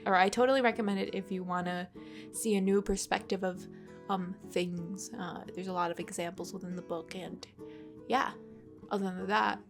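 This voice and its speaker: A woman's voice: mid-range voice, fairly even intonation, moderate pace, faint music behind. Congested, chatty woman in her twenties. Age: 20s. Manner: rambling and chatty, swears often. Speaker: art vlogger. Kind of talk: casual chat while sketching. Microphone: USB desk microphone.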